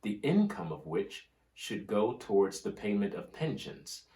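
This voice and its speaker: A man giving a scripted dramatic reading of history and old oratory in a solemn, measured, oratorical manner with deliberate pauses. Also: fairly low pitch, very animated, warm tone. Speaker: reader of a history book. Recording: roomy, echoing room sound.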